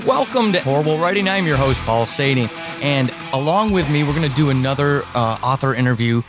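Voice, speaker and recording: A man's voice: medium pitch, lively intonation, brisk, over loud music. A man hosting a podcast interview, speaking in an effusive, emphatic, enthusiastic way.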